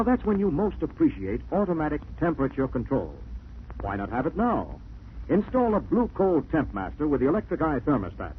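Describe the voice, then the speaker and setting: An American man reading scripted narration and sponsor messages as a 1940s radio announcer. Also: mid-range voice. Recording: scratchy, narrow-band 1940s radio recording.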